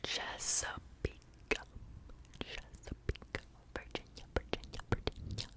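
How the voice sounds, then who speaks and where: A young woman whispering close to the mic in an ASMR video, repeating words slowly and chatting playfully to her viewers. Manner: whispered, soft and rambling, repeating words over and over. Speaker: ASMR creator.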